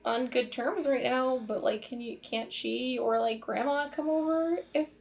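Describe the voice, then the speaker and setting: A woman's voice: sits high, unhurried, gravelly. A woman playing an indignant, sarcastic teenage daughter in a tabletop game, protesting and blurting things out.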